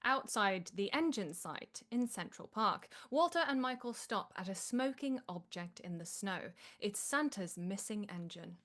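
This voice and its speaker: A woman's voice: mid-range voice, expressive, measured pace, few pauses, fairly bright tone. A woman reading stage directions as scripted narration at a live table read.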